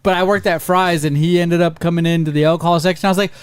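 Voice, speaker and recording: A man in his thirties with an American accent, a podcast host telling a profane, animated story in casual chat. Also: on the high side, quick pace, clean tone, no echo. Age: 30s. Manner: profane, animated storyteller who acts out quoted voices.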